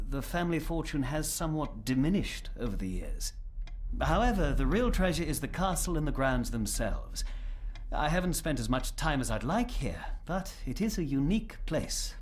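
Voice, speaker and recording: An actor playing a courteous, discreet family lawyer in a video game, speaking formally and precisely. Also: male voice, medium pitch, close to an everyday voice.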